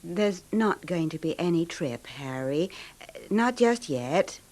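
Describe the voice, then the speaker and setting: An actress playing a controlling, possessive wife with a soft, coaxing surface in a 1970s radio drama. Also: female voice, lowish voice, animated, slow pace. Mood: firm, intimate.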